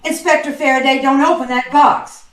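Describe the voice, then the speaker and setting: A woman playing a plucky, flustered girlfriend of a suspect in an old-time radio drama, exclamatory and quick to quip, in a roomy space. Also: high-pitched, fairly even intonation, natural voice. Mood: pleading. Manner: exclamatory, quipping. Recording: roomy, echoing video call sound.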